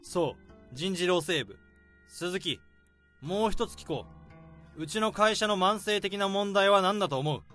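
An actor playing an overworked male office worker laying out a case, in a radio drama, speaking calmly and methodically. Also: high voice, unhurried, soft background music, full character voice. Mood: explaining. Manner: calm, methodical explaining.

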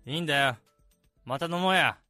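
Casting: male actor for laid-back senior salaryman, casual masculine talk, slightly higher pitch, radio drama with soft music. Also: a little fast, no echo, clean studio sound. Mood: friendly, relaxed. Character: easygoing male senior salaryman, sociable, beer-loving, mildly wary. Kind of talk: radio drama dialogue and narration. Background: background music.

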